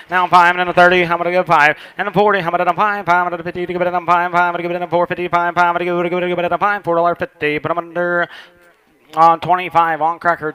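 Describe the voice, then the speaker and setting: Guy auctioneering cattle super fast, bright crisp voice, flat sing-song chant. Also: high-pitched. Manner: rhythmic rolling chant of bids, filler words repeated. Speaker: livestock auctioneer.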